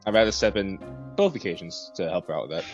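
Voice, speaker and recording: A young American man talks quickly in a relaxed, easygoing way, laughing now and then. His voice sits a little low. The audio is dull and lo-fi, with faint music underneath. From United States. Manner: laid-back, chuckles often, hedges with "like". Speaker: language program student. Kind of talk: casual interview.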